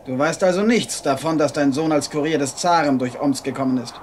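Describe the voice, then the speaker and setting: An actor in a German audio drama playing a scheming military rebel leader who speaks with courteous, measured menace. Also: male voice, on the high side, a little hiss or hum, lightly acted. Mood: coldly probing.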